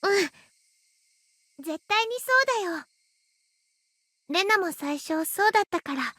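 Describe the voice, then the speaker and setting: Very high, sweet anime schoolgirl. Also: lively intonation, fairly quick, exaggerated voice. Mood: gentle reassurance. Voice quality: childlike, girlish anime voice. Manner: cutesy echoed sentence endings, gentle. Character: sweet, timid, cheerful schoolgirl friend. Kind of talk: Japanese visual novel voice acting.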